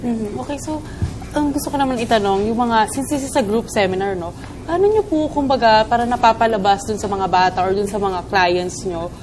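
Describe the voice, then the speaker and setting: A woman's voice: a little high, fast talker, over music. A woman co-interviewer asking a polite question in Filipino and English. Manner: polite, uses "po", brief affirmations.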